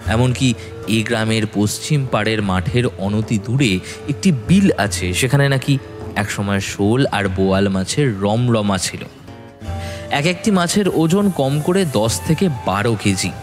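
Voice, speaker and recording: A man's voice: lowish voice, animated, measured pace. A man narrating a ghost story as a scripted audio drama, in a dramatic storytelling style. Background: background music.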